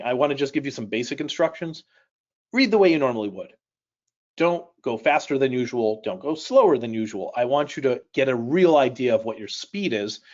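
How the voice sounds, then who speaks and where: A man's voice: medium pitch, highs cut off. A middle-aged man from Chicago whose first language is Polish, leading a live online reading class in a conversational way, asking his audience questions and making light jokes. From Chicago, United States. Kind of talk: live webinar instruction. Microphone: personal mic on a webcam live stream.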